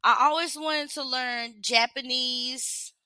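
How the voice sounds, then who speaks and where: Slow, bright-voiced young woman, casual livestream host, dry close sound. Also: high voice, steady tone, no room sound. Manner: chatty, reads questions aloud and answers them frankly. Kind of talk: casual livestream self-reflection.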